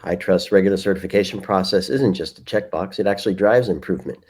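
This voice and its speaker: Fast, very low-voiced man reading an ad. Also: darkish tone, slightly rough. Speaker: sponsor message presenter. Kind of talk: scripted two-person ad read.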